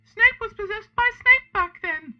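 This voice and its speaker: A man puts on a frank young wizard in a comic storybook. The voice is squeaky and pushed extremely high. The recording is dull, with music under it. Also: lively intonation, unhurried. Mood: earnest. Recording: dull lo-fi sound.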